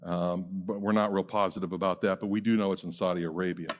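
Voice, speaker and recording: A middle-aged man preaching a teaching sermon as a pastor, talking conversationally with many fillers and quick asides. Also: deep voice, fairly even intonation, lo-fi sound, slight background noise. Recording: long hall reverb.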